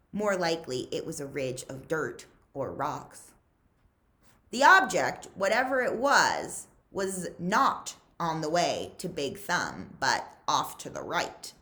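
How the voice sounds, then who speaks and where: A woman reading a story aloud to young listeners in an expressive, deliberate, suspenseful storytelling manner. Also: on the low side. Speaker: librarian reading aloud to children. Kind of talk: expressive read-aloud storytelling, pausing to ask listeners questions.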